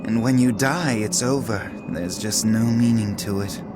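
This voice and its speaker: Guy doing smug cold game villain king, talks down to everyone, really theatrical, big loud music behind. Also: fairly low pitch, close to an everyday voice. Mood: mocking. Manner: theatrical, condescending, drawn-out mocking phrasing. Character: haughty, power-hungry male villain ruler, mocking and cold. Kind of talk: video game cutscene acting.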